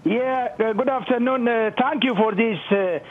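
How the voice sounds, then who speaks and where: High-pitched Ethiopian man on a thin phone line. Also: moderate pace. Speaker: phone-in caller. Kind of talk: impassioned opinion on a talk show call. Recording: muffled, thin telephone line.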